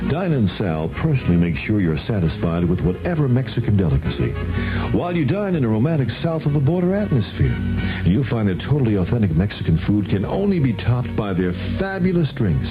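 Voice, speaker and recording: A man's voice: medium pitch, big pitch swings, a little fast. A man reading a scripted radio commercial as an announcer. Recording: tinny, phone-like 1970s radio aircheck. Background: music.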